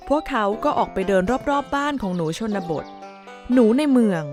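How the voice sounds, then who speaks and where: A woman's voice: fairly high pitch, expressive, normal speed, music in the background. A woman narrator reading a scripted bedtime story for children.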